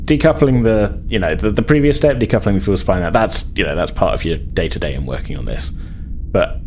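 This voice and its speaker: A man's voice: sits low, a little fast, darkish tone, a little hiss or hum. A man acting as game master in a tabletop role-playing game, explaining things conversationally and thinking aloud as he goes.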